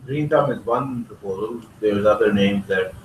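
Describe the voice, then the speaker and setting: A man with an average pitch speaks earnestly in a meeting, often repeating his opening words. He sounds far from the microphone in an echoey room with a faint hiss. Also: moderate pace. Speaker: meeting participant. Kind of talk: casual meeting discussion. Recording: roomy, distant mic with faint noise.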